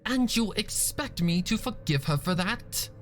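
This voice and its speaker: A man's voice: sits high, lively intonation, a little slow, faint music behind, voice pushed up. A man voicing an indignant, unforgiving young female pony in a story, speaking in a prim, firm manner.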